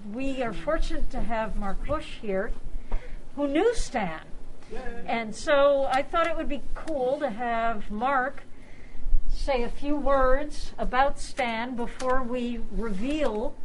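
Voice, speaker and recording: A woman with a fairly high voice acts as emcee at an informal event. She takes her time, speaking slowly and pausing between phrases as she announces. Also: a little hiss or hum, poor audio. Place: restaurant room at a club luncheon.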